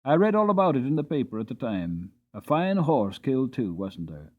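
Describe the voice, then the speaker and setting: A man doing a soft-spoken old hostler. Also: medium pitch, sing-song, normal speed, narrow, tinny audio. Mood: musing. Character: kindly old male stable hostler, folksy.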